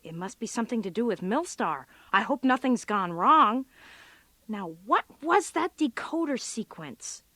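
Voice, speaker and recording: A woman's voice: a little high, expressive, a little slow, bright, forceful tone, slight background noise. An actress playing a sardonic, exasperated female office computer worker in a comic radio drama, given to wry muttered asides.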